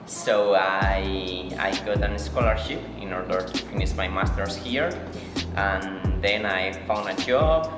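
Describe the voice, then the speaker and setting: A young man speaks slowly with a deep voice, pausing to search for his words. The room sounds roomy, and music plays loudly underneath. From Spain. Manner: slow, hesitant, searching for words. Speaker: interviewee. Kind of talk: casual interview answers.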